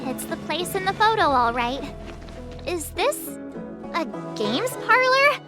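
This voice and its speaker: A woman voicing a curious, friendly young girl adventurer in a video game, with an inquisitive, unhurried manner. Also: very high voice, big pitch swings, music in the background, exaggerated voice. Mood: curious, wondering. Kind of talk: video game character acting.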